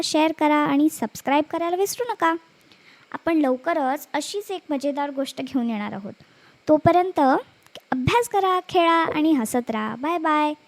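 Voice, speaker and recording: A woman storyteller narrating a scripted children's story, speaking expressively to young listeners. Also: sits high.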